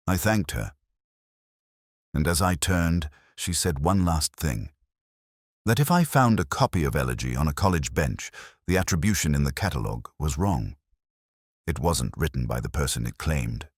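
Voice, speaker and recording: Very deep-voiced man narrating a detective story. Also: dry recording, studio quality. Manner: measured, reflective, literary delivery. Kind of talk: scripted first-person detective story narration.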